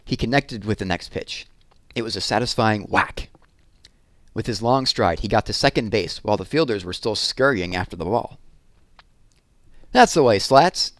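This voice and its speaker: A man with a fairly low voice reads a children's story aloud. He narrates as a storybook reader, recorded dry with very little room sound. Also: normal speed, fairly bright tone, dry recording.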